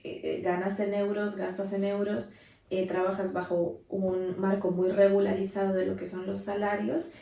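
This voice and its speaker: A young Colombian-accented woman co-hosts a casual podcast. Her tone is soft-edged and mellow, with little rise and fall in pitch. She sounds set back from the mic in an echoey space. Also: flat delivery, moderate pace, vocal fry. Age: 20s. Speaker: podcast co-host. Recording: roomy echo, distant from the mic.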